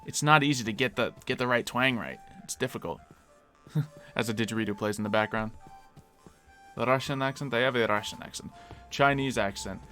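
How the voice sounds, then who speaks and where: Bright-voiced chatty streamer man. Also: medium pitch, soft background music. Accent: slight Philadelphia. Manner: chatty, musing aloud, quick to laugh. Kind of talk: casual live-stream chat while gaming.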